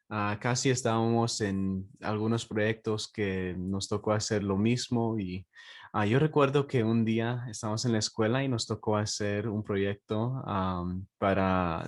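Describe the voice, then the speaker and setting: A man from Texas speaking as a pastor in an informal devotional, in a halting way with frequent drawn-out hesitations. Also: on the low side. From Texas, United States. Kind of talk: informal morning devotional with prayer and personal stories.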